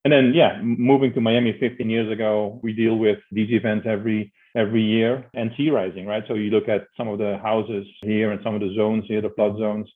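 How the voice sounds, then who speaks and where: A middle-aged Dutch-accented man with a lowish voice, heard through a narrow, tinny connection. He talks like an expert guest in an interview, explaining step by step and often doubling back on words. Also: flat delivery, a little fast, few pauses. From Netherlands. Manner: explanatory, repeats words as he builds his points. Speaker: interview guest, energy consulting executive. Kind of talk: conversational interview answers. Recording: narrow tinny phone-like line.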